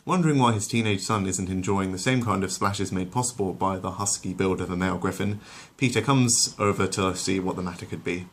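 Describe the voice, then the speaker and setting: A man's voice: low voice, fairly quick. A man reading scripted comic story narration in a wry, wordy, mock-literary way.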